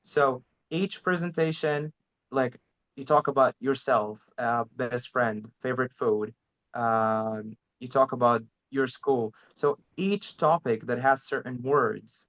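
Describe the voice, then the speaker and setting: A man whose first language is Arabic, sharing language-learning tips in a halting, hesitant way. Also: medium pitch, fairly bright tone. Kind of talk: casual interview chat. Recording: slightly dull lo-fi audio.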